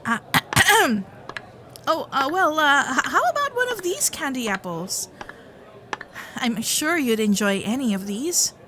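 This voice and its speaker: A woman's voice: sits high, very animated, slow pace, long pauses, sharp tone, full character voice. A woman voicing a sweet, flustered young fox-spirit girlfriend in an ASMR roleplay, with a nervous, stammering, caring manner.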